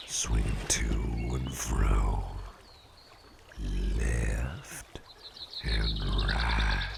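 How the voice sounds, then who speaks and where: A man playing a calm, caring, playful businessman boss in a slow, intimate ASMR roleplay, speaking in a soothing, gravelly, very deep murmur. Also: background noise, exaggerated voice. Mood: hypnotic, calm. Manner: soothing, unhurried, repeats short phrases.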